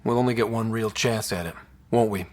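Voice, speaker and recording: An actor playing a wry, steady young expedition leader in a video game, with a husky voice. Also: male voice, on the low side, fairly quick, close to an everyday voice. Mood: determined. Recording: game dialogue over faint music.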